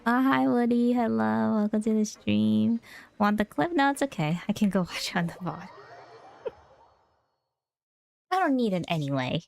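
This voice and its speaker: A woman's voice: fairly high pitch, animated, normal speed, gravelly, long pauses, a little mellow, no echo. A woman livestreamer chatting casually with her viewers as she plays a game. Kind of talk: casual chat with stream viewers.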